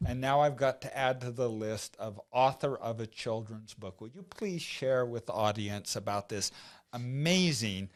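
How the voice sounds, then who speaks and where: Host: man of middle pitch, affirming and reflective with his guest. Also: normal speed. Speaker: interview host. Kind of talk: conversational interview.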